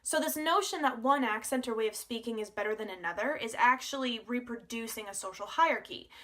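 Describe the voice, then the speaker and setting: A woman's voice: fairly high pitch, fairly quick, pushed and bright. A woman presenting a prepared academic analysis as a scripted student narration.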